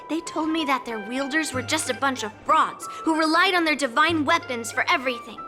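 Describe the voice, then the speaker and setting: A cheeky young swordswoman. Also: sits high. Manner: teasing, confident, chatty. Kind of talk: video game voice acting. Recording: game cutscene over music. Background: background music.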